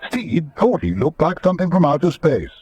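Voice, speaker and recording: Husky, animated male radio narrator. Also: on the high side, moderate pace, no room sound. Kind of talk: dramatic scripted narration.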